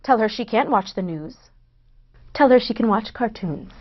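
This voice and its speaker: A woman's voice: a little high, expressive, moderate pace. An actress in a play reading, playing a worried, protective woman in an Israeli family, on a dull recording. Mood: tense.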